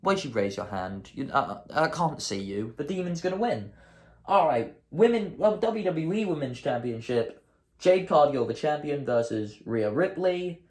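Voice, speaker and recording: A hoarse man hosting his own show, chatting casually and thinking aloud, often changing his mind mid-sentence. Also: fairly high pitch, sing-song, moderate pace, darkish tone, echoey room. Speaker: host. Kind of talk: casual solo commentary.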